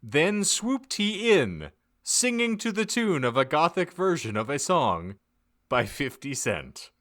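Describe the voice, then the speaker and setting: A man giving a mock-dramatic, theatrical narration of a comedic audiobook, with deliberate emphasis. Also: on the high side, very animated, a bit bright. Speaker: podcast narrator.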